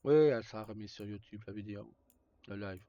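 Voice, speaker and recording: A man's voice: on the low side, a little fast, dark tone, no echo. A man giving casual live game commentary, muttering short remarks to himself as a streamer. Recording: muffled audio with faint background noise.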